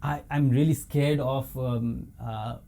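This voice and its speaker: Hesitant podcast host, soft mellow voice. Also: male voice, medium pitch, steady tone, slow pace, vocal fry. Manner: hesitant, many restarts, constant soft backchannel murmurs. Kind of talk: casual interview.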